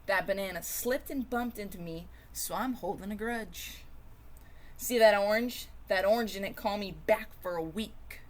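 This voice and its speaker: A woman's voice: medium pitch, measured pace, lightly acted. A woman voicing a grumpy, touchy sour grape in a children's storybook, snappy and emphatic. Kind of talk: first-person children's storybook read-aloud.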